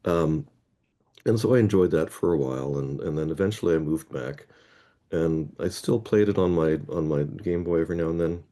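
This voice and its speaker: Very deep-voiced man telling a rambling story. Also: normal speed, warm tone. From Canada (Toronto). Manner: rambling, anecdotal, often rephrasing mid-sentence. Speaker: guest, head of a Scrabble players association. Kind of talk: casual interview storytelling.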